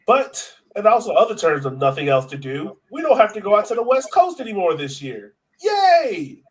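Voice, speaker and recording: A man hosting a sports podcast in a casual, animated, slangy and joking way. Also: sits high, normal speed, poor audio. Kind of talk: casual chat.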